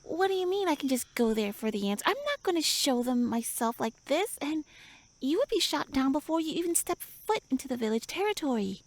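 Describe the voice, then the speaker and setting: A woman's voice: sits high, very animated, brisk. A woman voicing a tiny, pouty tsundere elf with little wings, flustered, huffy and indignant, speaking to the listener in a roleplay audio drama. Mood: embarrassed, indignant.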